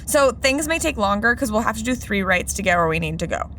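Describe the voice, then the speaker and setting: A woman's voice: mid-range voice, animated, quick pace, sharp tone, a little hiss or hum. A woman playing Laura, a bubbly, scatterbrained young woman who drives the friends around in a podcast sitcom. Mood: chipper.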